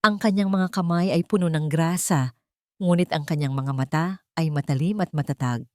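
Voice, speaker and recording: Low-pitched Filipino woman narrating, dry sound. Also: moderate pace, no echo. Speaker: story narrator. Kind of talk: dramatic scripted narration of a Tagalog love story.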